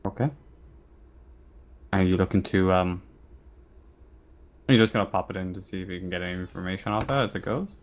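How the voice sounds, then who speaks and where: A man improvising narration as game master of a tabletop roleplaying game, speaking informally and haltingly. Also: deep voice.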